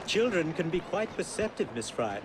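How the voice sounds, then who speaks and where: An actor playing a courteous, dignified exiled Indian maharaja in a video game, speaking in a formal, measured way. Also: male voice, a little high, very animated, very noisy. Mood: gently courteous. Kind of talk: dramatic video game cutscene acting.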